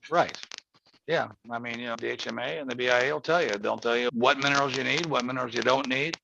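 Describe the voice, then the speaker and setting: Gravelly man in his seventies. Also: mid-range voice, steady tone, fast talker. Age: 70s. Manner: folksy, rambling, emphatic. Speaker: host. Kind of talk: casual call-in chat. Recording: muffled lo-fi audio with faint noise.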